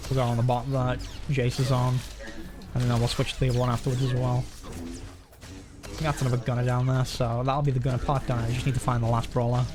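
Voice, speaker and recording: A man's voice: mid-range voice, monotone, quick pace, music in the background. A man live streaming a game, rambling casually and thinking aloud as he comments and chats.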